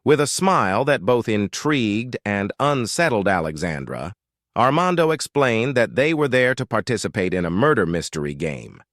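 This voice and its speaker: A man's voice: on the low side, big pitch swings, moderate pace, a bit bright, no echo, clean studio sound. A man narrating a suspenseful mystery story in a dramatic, scripted style.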